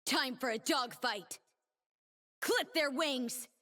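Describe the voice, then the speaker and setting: An actress playing a stern, proud female warrior in a fantasy video game, with dramatic, measured delivery and dry quips. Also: high voice, sharp tone, full character voice. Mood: brisk, defiant. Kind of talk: video game character voice lines.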